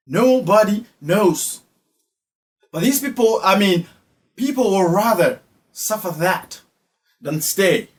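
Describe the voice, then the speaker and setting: A man's voice: high-pitched, fairly bright tone. A man from Ghana with a Ghanaian accent giving spoken social commentary, emphatic and repeating phrases for effect. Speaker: online commentator.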